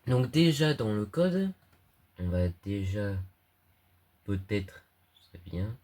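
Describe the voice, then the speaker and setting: A man's voice: on the low side, big pitch swings, takes it slowly, a little mellow. A young man with a cold talks through an electronics project casually, hesitating often and using slang. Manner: hesitant, many fillers, slangy. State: stuffy with a cold. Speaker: hobbyist electronics youtuber. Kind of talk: casual screen-recorded tutorial.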